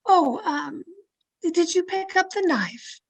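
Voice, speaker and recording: A woman's voice: extremely high pitch, animated, takes it slowly, heavily acted, poor audio. A woman playing a thrill-seeking young woman who narrates her murder tale with dramatic, breathless intensity in old radio suspense style. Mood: hushed, conspiratorial. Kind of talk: 1940s radio suspense acting, scripted first-person narration. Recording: video-call radio play with music underneath. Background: dramatic music.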